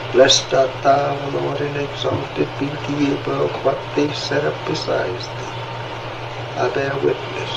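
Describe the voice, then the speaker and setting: A man reciting a prayer in a solemn, measured way, with a gravelly voice, over a noisy recording. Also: mid-range voice.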